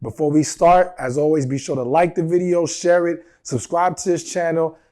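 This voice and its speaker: A man with a fairly high-pitched voice talks straight to his audience like a coach, earnest and emphatic. His voice carries a slight roughness from a cold he is getting over. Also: steady tone, moderate pace, warm tone. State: recovering from a cold. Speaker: dating advice host. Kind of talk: direct-to-camera advice talk.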